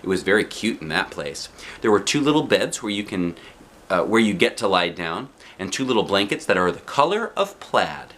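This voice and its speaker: A man reading a children's storybook aloud in an expressive narrating style with short, simple phrases. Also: on the low side, moderate pace, a little hiss or hum. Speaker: storybook reader.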